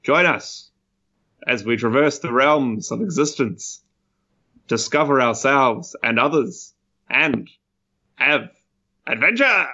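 A man's voice: medium pitch, expressive, takes it slowly, long pauses, sharp tone, highs cut off, full character voice. A man voicing a pompous, chivalrous mouse adventurer in a grandiose, theatrical manner for a tabletop game. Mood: grandiose, proud. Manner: grandiose, theatrical, florid old-fashioned phrasing.

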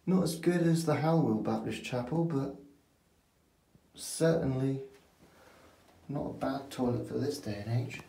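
A man gives a casual, mumbled running commentary while he films on a phone he holds in his hand. His voice is very dark and mellow. It sounds a little distant and roomy, picked up in a small hard-walled toilet room with faint noise behind it. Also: medium pitch, measured pace, pauses often. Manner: mumbled, offhand commentary with trailing fillers. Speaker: toilet enthusiast filming a chapel toilet. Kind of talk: casual handheld video tour. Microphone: phone camera held in hand. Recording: roomy, off-mic phone audio.

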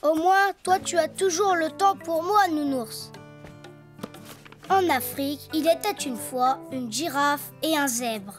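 A performer voicing a cheerful, eager little boy donkey in a children's cartoon, childlike and expressive. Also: sits high, takes it slowly, faint music behind. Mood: absorbed, playful.

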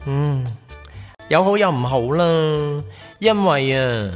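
A campy, nosy Hong Kong man in a radio comedy. His fairly high voice swoops around in a Hong Kong Cantonese accent, teasing over light music. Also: expressive, slow pace. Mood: gossipy narrating. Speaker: lead and narrator of radio drama. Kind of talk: comedic radio drama narration and dialogue. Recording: radio drama with music underneath.

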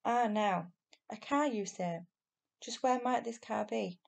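Woman reading as a creaky, stern but kindly policeman. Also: fairly high pitch, slow pace, full character voice. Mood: stern, questioning. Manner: colloquial, working-class grammar. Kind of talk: children's storybook reading.

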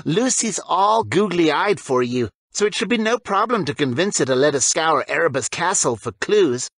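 A man's voice: fairly high pitch, expressive, moderate pace, a bit bright, husky, no room sound, pitched above the performer's own voice, exaggerated voice. A man voicing a cocky teenage boy wizard who ribs his friend wryly in an audiobook. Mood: teasing.

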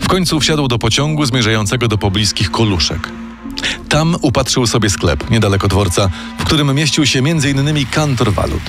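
A man narrates a true-crime story from a script, measured and dramatic. His pitch moves freely in mid range as he tells it. A music bed plays steadily beneath his voice. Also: expressive. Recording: music playing underneath.

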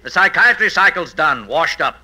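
A patronizing movie producer in fifties radio comedy. He is glib and brisk, with a highish, very bright voice and lively inflection. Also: full character voice. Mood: dismissive. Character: brisk, dismissive Hollywood studio producer. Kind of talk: 1950s radio comedy acting.

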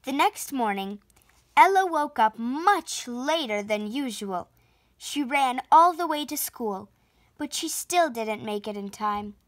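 A preteen girl reading a children's picture book aloud with expressive, deliberate storytelling. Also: fairly high pitch, no echo. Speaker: storybook reader and channel host. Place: indoor room at home, on a sofa.

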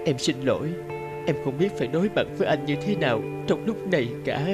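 Casting: a young cartoon heroine, wounded yet defiant, emotional delivery, music loud underneath. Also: female voice, sits low, animated, brisk. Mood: tearful, resigned. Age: young adult. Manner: emotional, indignant and pleading. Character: distressed young engaged woman, defiant, wounded, guilt-ridden. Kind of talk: animated drama voice acting.

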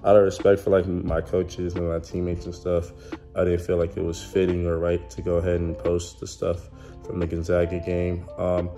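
Laid-back young man, low deep voice. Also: completely flat delivery, moderate pace, over music. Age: young adult. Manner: laid-back slangy talk with many ums. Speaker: college basketball player vlogger. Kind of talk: casual vlog to camera. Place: hotel room. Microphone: phone held at arm's length.

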